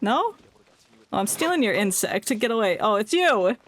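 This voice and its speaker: A woman's voice: medium pitch, sing-song, normal speed, noisy background. A woman game streamer giving casual, jokey commentary, playful and rambling as she heckles the game.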